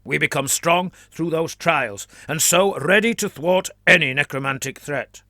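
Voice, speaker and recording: A man's voice: fairly high pitch, measured pace, pushed and bright, dry recording, studio quality, voice pushed up. A man voicing a gaunt, fanatical flagellant captain who declaims with fervour. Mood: fervent. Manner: fervent, declaiming.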